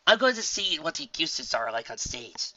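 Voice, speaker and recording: An easygoing unicorn musician mare from a storybook, read by a man, sociable and casually polite. The voice is very bright, with a dry, slightly dull sound. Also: a little high, sing-song, a little fast, no echo, voice pushed up. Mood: relaxed, friendly.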